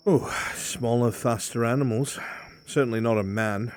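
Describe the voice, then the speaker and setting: A man's voice: mid-range voice, normal speed, a little mellow, slight background noise, lightly acted. A man playing a seasoned ogre-hunting inquisitor in fantasy roleplay, cool and commanding, dry and self-assured. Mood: dry, knowing.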